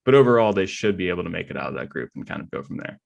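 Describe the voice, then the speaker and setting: A man's voice: low-pitched, sing-song, very fast, gravelly, lo-fi sound. A man co-hosting a podcast, chatting casually about sports. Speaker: podcast co-host.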